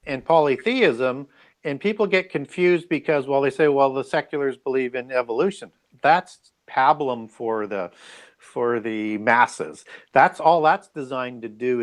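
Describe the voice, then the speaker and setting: A man speaking as an interview guest, explaining his views earnestly and discursively, often checking in with small tags. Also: mid-range voice.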